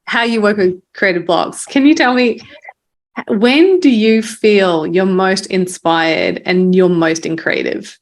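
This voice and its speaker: Medium-pitched woman, casual podcast host. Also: slow. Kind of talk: casual interview chat.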